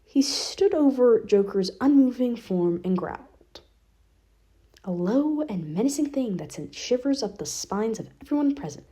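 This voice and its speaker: A woman's voice: a little high, lively intonation, unhurried, dark tone, husky. A woman reading fan fiction aloud as scripted narration. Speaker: podfic reader.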